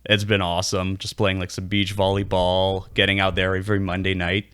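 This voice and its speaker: A man's voice: sits low, monotone, brisk, a bit bright, clear, resonant tone, no room sound, studio quality. A man in his thirties from Quebec, a native French speaker, chatting easily as a podcast guest and laughing often. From Quebec, Canada. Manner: easygoing, laughs often, lots of fillers. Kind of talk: casual interview chat.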